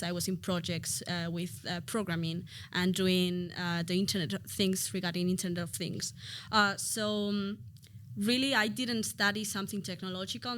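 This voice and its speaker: A woman's voice: fairly low pitch, a bit bright. Chatty woman from Spain. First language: Spanish. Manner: chatty, thinking aloud with fillers. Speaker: guest. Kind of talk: podcast interview.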